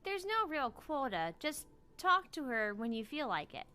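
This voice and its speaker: A woman's voice: high voice, very animated, measured pace, bright, forceful tone, a little hiss or hum, voice pushed up, exaggerated voice. A woman voicing an earnest young female research scientist in an anime game read-aloud, explaining things in a businesslike way. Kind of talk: visual novel character read-aloud.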